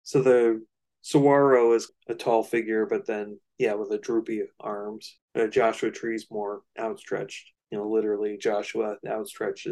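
A man co-hosting a casual music discussion, analytical and somewhat halting as he thinks aloud. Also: fairly low pitch, flat delivery, darkish tone. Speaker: co-host of a music discussion show.

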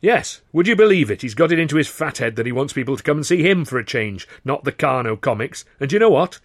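A man's voice: medium pitch, big pitch swings, fast talker, no room sound, clean studio sound, voice pushed up. A man voicing a pompous, scornful boss of a comedy company in an audiobook, talking in emphatic colloquial bursts. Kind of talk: audiobook character voice.

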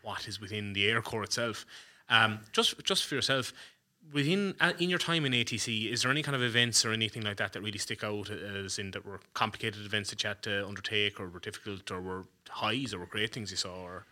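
A man's voice: lowish voice, a little fast, bright, forceful tone. A man with an Irish accent hosting a podcast, asking informal questions in a rambling, hesitant way. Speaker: podcast host. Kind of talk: informal interviewing.

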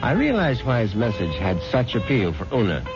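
Sardonic, self-satisfied husband, low and very expressive, 1970s radio mystery narration. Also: male voice, moderate pace. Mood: wry narrating. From born in London, moved to the United States when young (character). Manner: sardonic, dry, urbane. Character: wry, self-satisfied idle husband narrating his own story. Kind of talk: 1970s radio drama acting, first-person narration. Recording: dull lo-fi 1970s radio broadcast, loud music.

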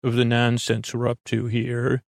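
A male podcast host with a mid-pitched voice full of gravelly fry, recorded very dry. He delivers a low-key bedtime monologue that wanders off on tangents, hesitating and restarting as he goes, with a self-deprecating streak. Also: monotone, unhurried, no echo. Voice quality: gravelly, creaky. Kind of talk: rambling, unscripted bedtime monologue.

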